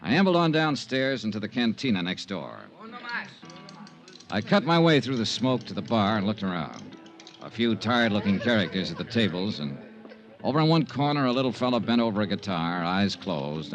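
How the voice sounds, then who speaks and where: An actor playing a wry, tough American insurance investigator in a 1950s radio detective drama, with a dry, clipped delivery. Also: male voice, lowish voice, sing-song, normal speed, creaky, highs cut off, music in the background. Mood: wry narration. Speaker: lead actor and narrator.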